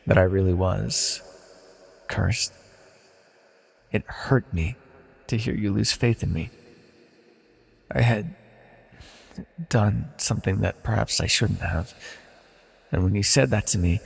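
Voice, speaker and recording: A man playing an ancient banished villain deity in a fantasy audio roleplay, proud yet wistful and tender, speaking in a formal, measured, confessional way. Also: on the low side, creaky, slightly rough, exaggerated voice. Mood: hesitant confession, then regretful, tender. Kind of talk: scripted fantasy audio roleplay, intimate monologue. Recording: long reverberant hall echo.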